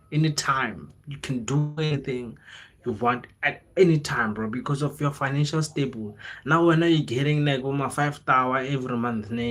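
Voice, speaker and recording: A young man with a South African accent talks casually to his livestream audience in a mid-pitched voice. He rambles in a motivational way, leaning on slang and repetition. Now and then he switches into local languages. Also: a bit bright. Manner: informal, slangy, code-switches into local languages, repeats phrases. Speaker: livestreamer, content creator.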